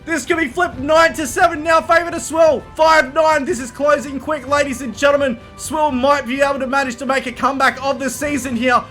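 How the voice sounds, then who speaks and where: A man calling an online shooter match, shouting fast play-by-play. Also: very high voice, faint music behind. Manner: excited, fast, shouting near voice loss. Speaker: esports caster.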